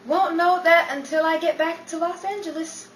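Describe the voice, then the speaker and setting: A woman's voice: very high-pitched, fairly even intonation, normal speed. A woman playing a dry, wisecracking 1930s story writer in old-time radio comedy. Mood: wry. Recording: distant, echoey, noisy audience recording.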